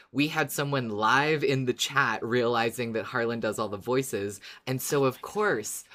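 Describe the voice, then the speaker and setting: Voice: mid-range voice, fairly bright tone. An enthusiastic podcast host with a mid-range voice, talking in a casual fan discussion. The delivery is informal and conversational, with an easy readiness to laugh.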